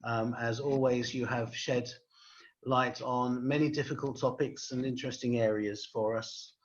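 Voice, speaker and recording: A man's voice: mid-range voice, steady tone, a little slow. A man hosting an online event, giving polite, hesitant closing thanks with many ums. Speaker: host, rabbi. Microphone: video call. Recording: dull lo-fi video call audio.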